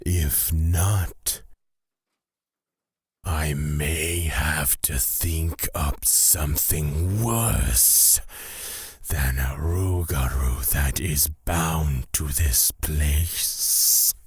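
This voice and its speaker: A man playing a calm, amused and quietly menacing god of fear in a fantasy audio drama, with a breathy, husky voice and deliberate phrasing. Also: extremely low pitch, no echo, clean studio sound, exaggerated voice. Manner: slow, deliberate, drawn-out phrasing.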